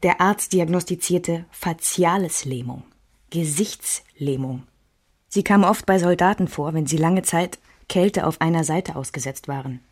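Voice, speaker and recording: Low-voiced woman narrator, intimate and reflective. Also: a little slow, breathy edge, no echo, clean studio sound. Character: woman recalling her married life, reflective and wounded. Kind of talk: first-person radio drama narration.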